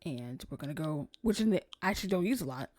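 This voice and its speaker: A woman streamer chatting casually while she plays, soft-spoken and sometimes nearly whispering. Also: low-pitched, animated, quick pace. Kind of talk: casual gameplay commentary. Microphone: desk streaming mic.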